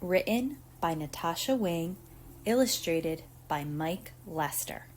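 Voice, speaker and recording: A woman reading a picture book aloud to young children in an expressive, theatrical storytelling manner. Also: lowish voice, a little hiss or hum. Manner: deliberate, theatrical storytelling with acted emphasis. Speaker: preschool teacher reading aloud.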